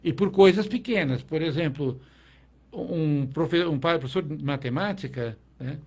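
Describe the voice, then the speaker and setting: Middle-aged man recalling at length, fairly high voice. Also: unhurried, darkish tone. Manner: reflective, with frequent restarts and tag questions. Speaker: guest, teacher. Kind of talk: conversational interview.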